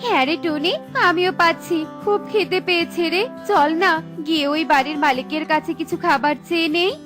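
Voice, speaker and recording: A woman's voice: very high voice, brisk. A woman voicing a greedy, cowardly and jealous female bird in a cartoon who whines and schemes. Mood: scheming. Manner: whiny, scheming. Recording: with light background music.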